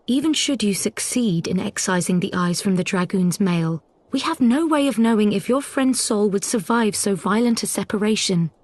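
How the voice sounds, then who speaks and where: A woman's voice: mid-range voice, expressive, normal speed, hardly any pauses, darkish tone, no echo, natural voice. A woman voicing a spirited, learned female scholar in a game cutscene, with formal, precise, schoolteacherly speech. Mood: gravely cautioning. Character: small learned woman scholar, spirited, gently chiding mentor. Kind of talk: video game cutscene acting.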